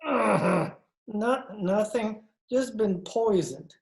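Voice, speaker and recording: A man's voice: high-pitched, takes it slowly, poor audio. A man playing a groggy, sardonic fiancé in an old-time radio comedy-mystery, drawling and groaning. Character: groggy, wry fiancé of a lady reporter.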